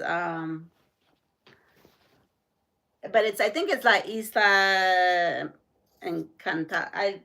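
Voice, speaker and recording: A woman hosts a casual live stream, talking at an unhurried pace in a mid-range voice. She chats with her viewers, reads their comments and quiz questions aloud, and laughs often between lines. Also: medium pitch, sharp tone. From Puerto Rico. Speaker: quilting channel host.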